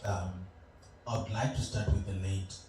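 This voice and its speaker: A young adult man from Zimbabwe, a musician chatting casually as an interview guest, relaxed and hesitant with many fillers. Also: fairly low pitch, moderate pace. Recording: roomy, distant, noisy room.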